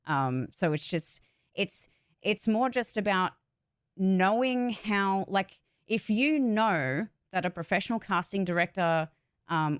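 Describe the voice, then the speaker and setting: Low-pitched woman, candid and emphatic. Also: clean tone, no room sound, studio quality. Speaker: vlogger, actor and voice-over artist. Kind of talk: casual opinionated talk to viewers.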